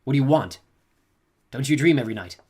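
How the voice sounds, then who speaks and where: A man's voice: mid-range voice, animated, fairly quick, darkish tone, slightly rough, pitched above the performer's own voice. A man voicing a stern, commanding old woman who scolds sharply in an audiobook reading. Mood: probing. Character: stern imperious old woman, a reverend mother.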